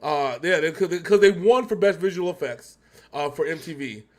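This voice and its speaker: A man's voice: a little high, lively intonation, normal speed. An American man hosting a reaction show in casual, slangy, rambling chat.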